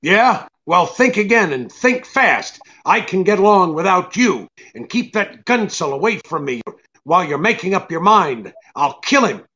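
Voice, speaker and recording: An actor playing a tough, wisecracking, impatient private detective in a radio drama, brusque and sardonic. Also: male voice, sits high, big pitch swings, normal speed, bright, forceful tone, husky, highs cut off, heavily acted. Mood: angry. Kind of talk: hardboiled radio drama acting with narration.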